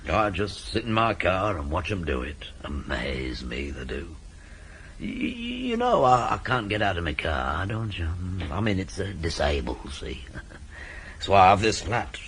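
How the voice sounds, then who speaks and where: A man's voice: deep voice, big pitch swings, unhurried, a bit bright, slight background noise, full character voice. An actor playing a wily small-time crook, a British working-class man with a chatty, wheedling manner who repeats himself, in radio drama style. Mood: boastful, confiding.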